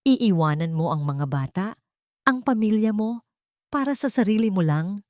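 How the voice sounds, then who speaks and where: Woman acting stern, scolding old mother-in-law, animated pitch. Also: normal speed, no echo, clean studio sound, close to an everyday voice. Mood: hurt, reproachful. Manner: sharp rhetorical questioning.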